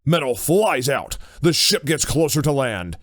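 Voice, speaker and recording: A man's voice: mid-range voice, very animated, unhurried, no echo, clean studio sound. A man reading scripted narration for an audio drama.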